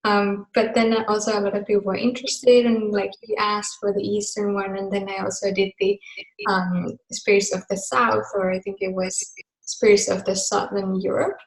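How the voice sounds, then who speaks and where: Woman, from Finland, casual video call chat, rambling with fillers, middling pitch, echoey room sound. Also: moderate pace, creaky. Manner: chatty, many fillers and asides. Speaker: host of a group video call. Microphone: computer mic on a video call.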